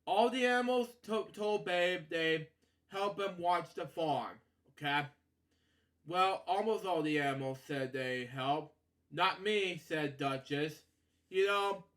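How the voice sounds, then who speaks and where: High-voiced man slowly reading a bedtime story. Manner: halting, stumbling over words, repeating himself; frequent filler catchphrases like "okay" and "you know". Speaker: storytelling host. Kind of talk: reading a children's bedtime story aloud with rambling asides.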